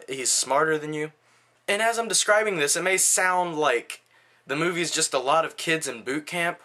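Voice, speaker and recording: A man's voice: fairly high pitch, pushed and bright. A man giving a casual video movie review in a blunt, slangy, conversational way.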